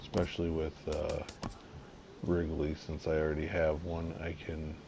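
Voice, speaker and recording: A man casually commenting on his gameplay, slightly nasal from allergies, halting with lots of fillers. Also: completely flat delivery, vocal fry. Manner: halting, many fillers, unhurried and low-key. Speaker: gaming video creator. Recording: audible background noise.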